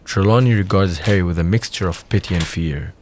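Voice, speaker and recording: A man with a notably deep voice serves as narrator of an audio drama. He reads scripted scene descriptions and stage directions between the dialogue. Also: a little fast, darkish tone, a little hiss or hum.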